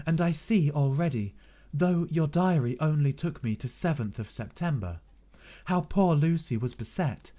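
An earnest young Victorian woman, grave and resolute, speaking in a lifted, lighter register, as a male reader puts her on. Her voice climbs and falls with feeling as she pleads to share the burden. The delivery is unhurried and deliberate. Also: on the high side, dark tone, voice pushed up.

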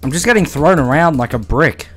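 A man's voice: a little high, lively intonation, fairly quick, fairly bright tone, faint music behind. A man chatting casually on voice chat while playing a game, joking with friends and swearing when he dies. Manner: laid-back, laughs a lot, swears when he dies. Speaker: gamer streaming with friends on voice chat.

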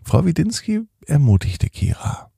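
Slow gravelly man telling a bedtime story. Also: a little high, no echo, clean studio sound. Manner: slow, soothing, gently animated storytelling. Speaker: children's podcast host and storyteller.

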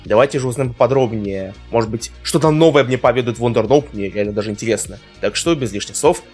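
A man's voice: medium pitch, fast talker, darkish tone, breathy edge, soft background music. A young man giving casual reaction commentary in an exclamatory, slangy way with mild swearing. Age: young adult. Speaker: reaction video host. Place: small room at home, indoors.

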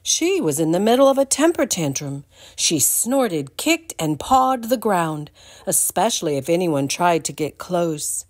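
An older woman giving an expressive read-aloud storytime for young children, in a deliberate, animated manner. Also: lowish voice. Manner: deliberate, animated, addresses the listeners with questions.

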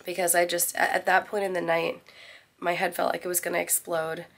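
A hoarse woman in her forties talks casually to her phone from a small ship cabin. Her voice is low and gravelly, fairly flat in pitch, and she wanders through her thoughts with many restarts. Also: fairly quick. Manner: rambling, self-correcting. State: hoarse, recovering from lost voice. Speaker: travel vlogger. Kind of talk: casual vlog chat to camera. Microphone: phone at arm's length.

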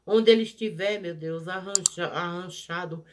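A prayer leader, leading a fervent healing prayer in a rhythmic, repetitive, pleading cadence, swelling loud on each plea and dropping soft on each invocation. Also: mid-range voice, moderate pace, noisy background. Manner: pleading, rising loud on pleas and falling soft on each "Jesus".

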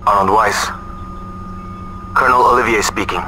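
A smug, deep-voiced villainous colonel. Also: male voice, fairly even intonation, sharp tone, soft background music. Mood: condescending. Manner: condescending, measured, sardonic. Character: smug, coldly menacing male colonel, villain. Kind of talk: video game villain monologue.

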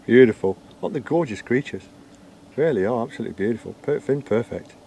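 A man in his fifties, an angler talking casually to the camera from a lakeside bank, soft-spoken and often murmuring under his breath. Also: mid-range voice, fairly quick, vocal fry. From England. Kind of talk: casual fishing commentary. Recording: faint outdoor noise with birds.

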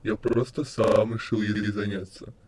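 A man's voice: lowish voice, flat delivery, unhurried, natural voice. A man voicing a deranged skeleton destroyer in an amateur comic dub, cheerfully menacing, stuttering and repeating words like a glitch. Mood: cheerful menace. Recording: clear background noise.

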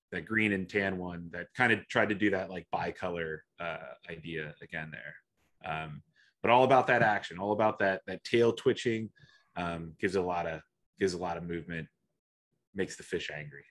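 A man's voice: low-pitched, normal speed, a bit bright. A man giving an online slide presentation over a video call, talking hesitantly with many fillers and restarts. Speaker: guest presenter.

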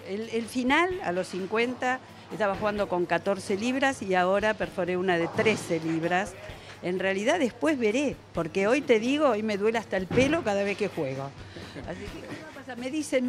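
A woman in her seventies with an Argentine accent answers interview questions conversationally, in a reflective, explanatory manner. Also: medium pitch. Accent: Argentine Spanish. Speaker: veteran bowler being interviewed. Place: indoor bowling alley. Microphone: handheld interviewer's microphone. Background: music.

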